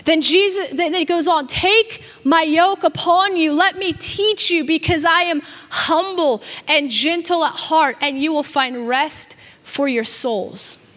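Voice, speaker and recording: A high-voiced woman in her thirties preaching a sermon into a handheld mic. She talks with animated emphasis and often stumbles and repeats words as she builds a point. Her voice carries a long reverb from the church hall around her. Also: unhurried. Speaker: pastor. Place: church stage with a small audience.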